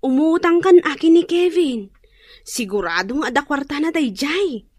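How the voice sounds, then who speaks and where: A woman's voice: high voice, expressive, normal speed, darkish tone, no echo, clean studio sound. A young woman playing a friendly, chatty neighbour in a radio drama, with a Filipino accent. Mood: sympathetic.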